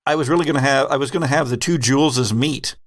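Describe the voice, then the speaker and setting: A man's voice: mid-range voice, quick pace, no echo, clean studio sound. A man chatting casually as the game master of a podcast, looking back on a game. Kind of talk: casual retrospective chat.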